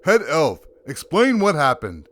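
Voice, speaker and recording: A man playing an impatient, jolly old Santa Claus in an audio drama, booming and theatrical over heavy noise. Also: wildly swooping pitch, a little slow, a bit bright, heavily acted.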